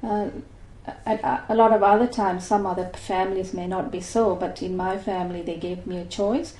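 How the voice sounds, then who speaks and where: Older woman from Malaysia, mellow voice. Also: mid-range voice, fairly even intonation, moderate pace, roomy sound, slight background noise, rough recording. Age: 60s. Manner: thoughtful, with many fillers and restarts. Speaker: interviewee. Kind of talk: oral history interview.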